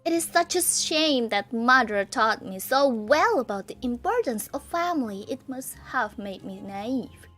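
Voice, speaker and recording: A woman's voice: fairly high pitch, expressive, a little slow, few pauses, a bit bright, soft background music, pitched below the performer's own voice. Gloria, a woman doing a stern older sister. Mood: reproachful. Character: stern, reproachful older stepsister, duty-bound. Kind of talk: reading visual novel lines aloud.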